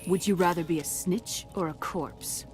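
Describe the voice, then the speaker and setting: An actress playing a tough, blunt military commander in a video game, questioning people in a curt, confrontational manner. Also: female voice, lowish voice, normal speed, darkish tone, soft background music, natural voice. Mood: threatening. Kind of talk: video game dialogue acting.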